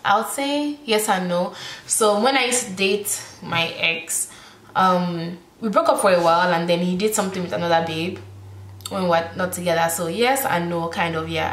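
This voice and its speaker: A woman's voice: lowish voice, moderate pace, echoey room. A woman in her twenties with a Nigerian accent, chatting casually to camera in a bedroom. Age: 20s. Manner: chatty, expressive, peppered with "like". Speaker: YouTuber. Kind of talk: casual Q&A vlog. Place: bedroom, indoors.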